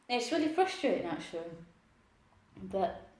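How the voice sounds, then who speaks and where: A woman's voice: fairly high pitch, big pitch swings, slow, darkish tone. A young woman hosting a mukbang, chatting casually to the camera while eating, sniffly and laughing self-consciously. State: sniffly nose. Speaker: mukbang host. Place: at home, indoors. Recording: echoey room.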